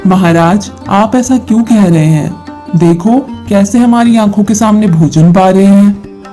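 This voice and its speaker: A woman voices an innocent male villager in a devotional cartoon. He is earnest and plain-spoken, with a low, mellow voice over soft music. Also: a little slow, lightly acted. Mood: sincere. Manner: naive, earnest, openly complaining to gods. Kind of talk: animated devotional moral story dialogue. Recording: music behind the voice.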